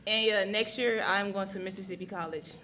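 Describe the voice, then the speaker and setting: A woman's voice: mid-range voice, fairly even intonation, a little fast. A young woman from Texas, a college athlete, giving an informal speech at a banquet. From Houston, USA. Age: young adult. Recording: noisy crowd at live banquet.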